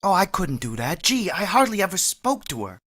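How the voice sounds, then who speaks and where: A woman's voice: on the low side, sing-song, normal speed, a bit bright. A woman playing a timid, tongue-tied young man in a vintage-style radio play. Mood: bashful. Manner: stammering, timid.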